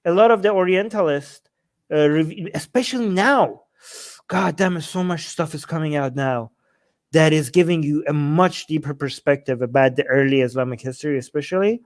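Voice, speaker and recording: A man co-hosting a casual question-and-answer show, answering viewer questions in a blunt, animated way with many restarts. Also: on the high side, moderate pace. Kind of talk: casual Q&A chat.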